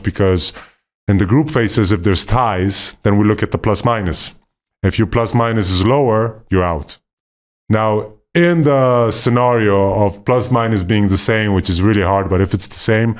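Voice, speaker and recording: Upbeat low-voiced man on a podcast. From Sweden. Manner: upbeat, fast-talking explainer. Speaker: podcast co-host. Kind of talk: casual podcast conversation.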